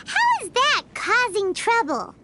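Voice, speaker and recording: A woman voicing a tiny, childlike fairy companion in a video game, squeaky, bubbly and excitable, speaking of herself by name in an expressive, exclamatory way. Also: slow pace, heavy background noise, full character voice. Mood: curious, surprised. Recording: game music behind the voice.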